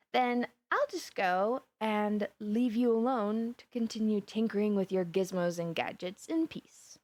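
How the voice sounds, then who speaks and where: A woman's voice: fairly high pitch, darkish tone, no room sound. A woman playing a flustered, lovestruck supervillainess in a roleplay audio drama, chatting intimately and dramatically to her best friend. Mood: affectionate, teasing. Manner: chatty, dramatic, playfully indignant, with sighs and gasps. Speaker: voice actor.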